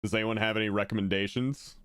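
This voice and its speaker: A man talks casually over a live stream, excitable and loose, dropping fillers as he comments on what he watches. His voice sits a little low and comes through smooth and resonant. The sound is dry and close. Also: quick pace, no echo. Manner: excitable, chatty, many fillers. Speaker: streamer reacting to videos.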